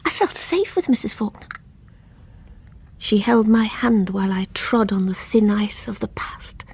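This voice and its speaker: A lonely British wife in a radio play, dreamy and troubled. Her voice is a little husky, and she confides in a wistful, sighing way. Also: medium pitch, animated, measured pace, darkish tone. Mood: haunted, confessional. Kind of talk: radio drama acting.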